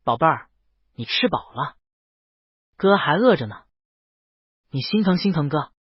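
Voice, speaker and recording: Guy doing a possessive teasing boyfriend from a romance audiobook, husky, emotional, dry muffled sound. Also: on the high side, big pitch swings, unhurried, dry recording, full character voice. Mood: teasing, coaxing. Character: possessive, teasing, lovesick proud young man. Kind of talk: audiobook character dialogue in a romance. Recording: dull lo-fi, very dry close recording.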